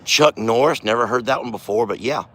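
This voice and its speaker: A middle-aged man who has lost his voice and talks hoarsely. He chats in a folksy way while filming on his farm, speaking softly to his bull. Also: mid-range voice, a little fast. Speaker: farm vlogger, channel host. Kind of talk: casual outdoor vlog. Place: outdoors on a farm by a bull pen. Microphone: handheld phone camera.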